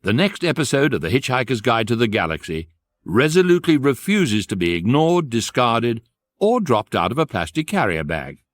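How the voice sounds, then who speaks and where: A man delivers a scripted teaser for a radio comedy with mock drama. His mid-pitched voice is very varied in tone, recorded close and dry. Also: very animated, normal speed, no room sound. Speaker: programme announcer.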